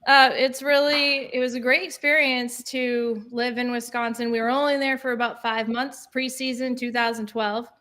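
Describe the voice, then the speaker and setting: A woman's voice: a little high, flat delivery, moderate pace, hardly any pauses, bright, forceful tone. A woman in her thirties from Maine with a Maine accent, chatting as a guest author on a live video call, laughing easily and rambling. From Maine, USA.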